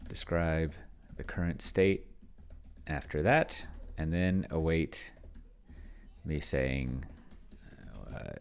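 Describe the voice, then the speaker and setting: Low-voiced man rambling through his thoughts. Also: slight background noise. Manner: rambling, halting, muttering to himself. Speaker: host of a solo roleplaying video. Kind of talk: casual thinking-aloud commentary while playing a tabletop game. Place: at home, at a computer.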